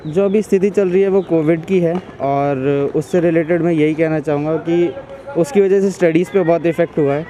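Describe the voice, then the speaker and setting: A man's voice: a little high, steady tone, moderate pace, dark tone, a little hiss or hum. A young man in his twenties, a student answering street interview questions outdoors in a park, earnest and hesitant, mixing English into his Hindi.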